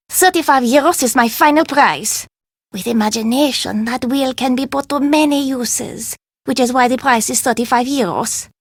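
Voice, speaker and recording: An actress playing a proud, stubborn street vendor in a video game, haggling emphatically in formal phrasing. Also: female voice, high voice, no echo, clean studio sound. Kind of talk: video game character dialogue.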